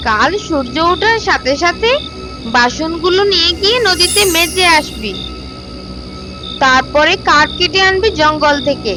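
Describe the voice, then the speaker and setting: A woman's voice: very high voice, lively intonation, measured pace, music in the background. A woman voicing a cruel, bossy stepmother who scolds with contempt in a children's fairy-tale cartoon. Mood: bossy, threatening. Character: cruel, scolding stepmother ordering a girl around.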